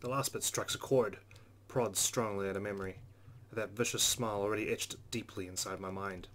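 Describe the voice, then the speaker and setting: A man's voice: fairly low pitch, steady tone, fairly quick, fairly bright tone, a little hiss or hum. A man hosting a game playthrough, reading visual novel narration aloud. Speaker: let's play host.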